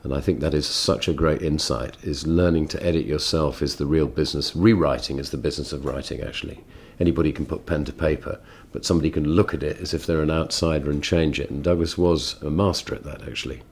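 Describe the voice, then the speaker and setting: A man speaks in an extremely deep voice. He is reflective and articulate, musing aloud as in a radio discussion. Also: fairly even intonation, fast, breathy edge. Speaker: interviewee, colleague reminiscing.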